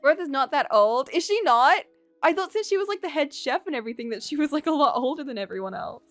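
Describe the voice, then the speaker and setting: A woman game streamer reading a visual novel aloud in an expressive storytelling way, with casual asides. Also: high voice, quick pace, no room sound, soft background music.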